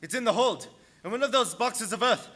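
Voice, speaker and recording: A man's voice: very high-pitched, expressive, brisk, pushed and bright, heavily acted. A man playing a haunted, agitated ship's mate driven mad by terror in a radio play. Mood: obsessed. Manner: intense, agitated. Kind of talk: dramatic radio play acting.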